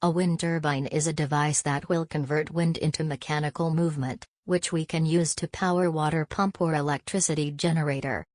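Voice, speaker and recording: A woman's voice: low voice, fairly even intonation, fairly quick, hardly any pauses, a little mellow, no echo, studio quality. A woman reading a scripted passage aloud, as narration for practice.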